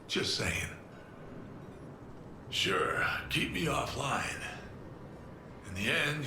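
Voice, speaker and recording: Low, unhurried mercenary man, roomy sound. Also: noisy background. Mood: dry, nudging. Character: mercenary assassin, calm, mindful, disciplined older military man. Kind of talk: video game character dialogue.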